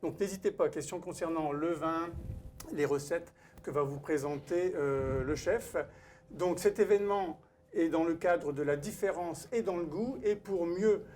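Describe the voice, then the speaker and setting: A man's voice: a little high, unhurried, a little mellow, slight background noise. A man hosting a live-streamed cooking event, welcoming viewers and introducing the guest chef in a formal emcee manner. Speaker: event host and wine author. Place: cooking school studio kitchen.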